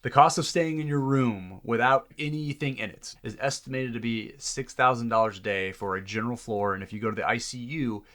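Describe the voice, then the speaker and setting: A man's voice: medium pitch, brisk, few pauses, a bit bright. A man speaking as a doctor in an earnest, reflective interview conversation. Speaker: doctor, podcast guest.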